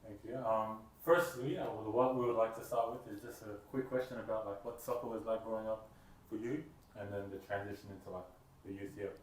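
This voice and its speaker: A man hosting a show, introducing his guest quickly with fillers, heard from a distance in a roomy space. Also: fairly low pitch, level tone. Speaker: show host. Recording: roomy, from away from the mic.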